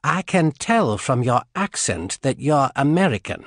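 Brief: man voicing a smooth, cultured officer in his forties with a faint British accent, raised and lively delivery, audiobook. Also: mid-range voice, measured pace, dry recording, clean studio sound, voice pushed up. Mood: suave, probing. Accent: slight British. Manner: smooth, polite, menacing. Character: cultured middle-aged male military interrogator.

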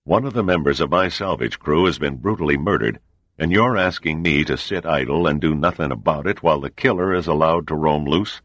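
A man voicing a gruff, angry male admiral who speaks bluntly and confrontationally. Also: extremely low pitch, a little fast, a bit bright, dry recording, lo-fi sound, pitched above the performer's own voice. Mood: indignant.

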